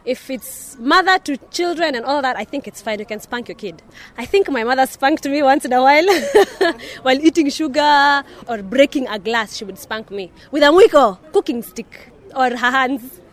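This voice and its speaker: Casting: young female vox-pop interviewee, high-pitched, speaking casually about her own experience. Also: animated, moderate pace. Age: young adult. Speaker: street interviewee.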